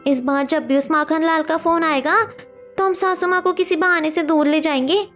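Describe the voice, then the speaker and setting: Woman as determined young cartoon bahu, clever plotter, extremely high voice, light music bed. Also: steady tone, normal speed, a bit bright. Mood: plotting. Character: resolute clever young daughter-in-law, female.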